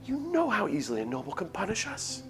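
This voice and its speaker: A man voicing high-pitched earnest village women. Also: huge pitch swings, quick pace, over music, heavily acted. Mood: alarmed. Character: young village women, anxious, scheming friends.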